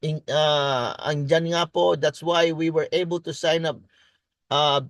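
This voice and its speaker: A Filipino man, a native Tagalog speaker, presents in a deliberate preaching style, repeating key words and switching between English and Tagalog. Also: a little high, steady tone, a bit bright, dry recording, clean studio sound. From Philippines. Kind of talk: faith-based business presentation, preaching.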